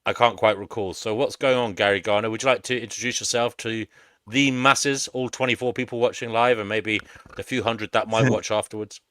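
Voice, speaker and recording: A man's voice: fairly low pitch, fairly even intonation, brisk, a bit bright, dry recording. A man hosting a live panel stream, chatting casually and informally with guests.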